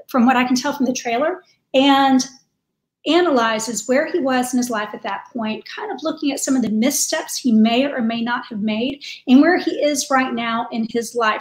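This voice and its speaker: A woman in her forties co-hosting a casual video chat from home, chatty and laughing things off. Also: high-pitched. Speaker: co-host. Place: home room with tiled wall. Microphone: video call webcam. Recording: echoey home room.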